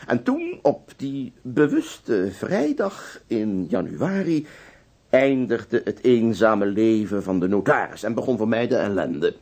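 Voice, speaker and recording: A police inspector in a radio play, genial and amused. He lectures like a schoolmaster with hesitant asides, and his mid-pitched voice moves animatedly up and down. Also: darkish tone. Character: genial, teasing police inspector telling old cases. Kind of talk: radio drama acting.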